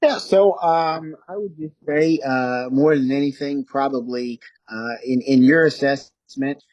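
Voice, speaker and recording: A man speaking as an interview guest, explaining things casually in halting, hesitant phrases. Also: medium pitch, darkish tone, dry recording, lo-fi sound. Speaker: interview guest, audio drama producer.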